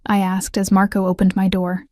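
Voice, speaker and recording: A woman narrating a first-person romance story in a scripted storytelling style, with an even, subdued delivery. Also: mid-range voice, normal speed, dry recording, clean studio sound.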